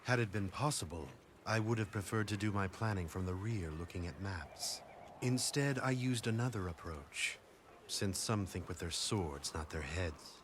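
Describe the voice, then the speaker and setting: An actor playing a cold, arrogant male strategist in a video game cutscene, speaking in a haughty, measured way. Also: low voice, noisy background, close to an everyday voice. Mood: cold disdain. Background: game music score.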